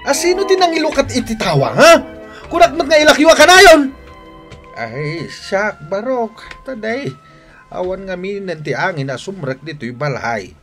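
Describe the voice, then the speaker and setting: A man's voice: high voice, sing-song, normal speed, fairly bright tone, soft background music. A man with an Ilocano accent playing a rude, sullen grown son in a radio drama, curt and snapping. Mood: scolding. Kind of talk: Filipino radio drama acting.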